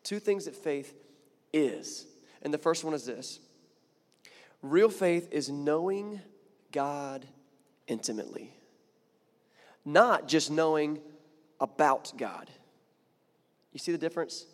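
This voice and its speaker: A man's voice: a little high, expressive, takes it slowly, pauses often, big-room sound. A man preaching a sermon in a chatty, conversational way, building to emphatic points and often repeating words. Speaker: pastor.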